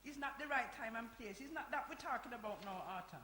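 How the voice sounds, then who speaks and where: Radio play: insistent older matriarch played by a woman, high voice, very echoey live recording with hiss. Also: expressive, fast, sharp tone. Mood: firm, exasperated. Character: Dorothy, brisk, insistent older Jamaican grandmother running a community centre. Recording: echoey hall, hiss and noise.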